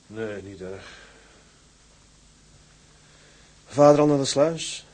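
A man's voice: medium pitch, expressive, measured pace, a little mellow. A man acting in a Dutch radio drama as an embittered, hot-tempered sea captain who broods and flares up. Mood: subdued, weary. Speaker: actor. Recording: hissy, noisy old radio recording.

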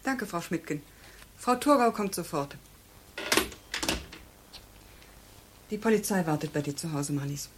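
An actress playing a sober, quietly probing woman in a crime radio drama, with a controlled, questioning way of speaking. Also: fairly low pitch, animated, fairly quick, very noisy, lightly acted. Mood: composed.

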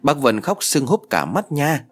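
Man playing a bossy little girl, whiny, pitched up, lively. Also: a little slow, husky, heavily acted. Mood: insistent. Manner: whiny pleading child talk.

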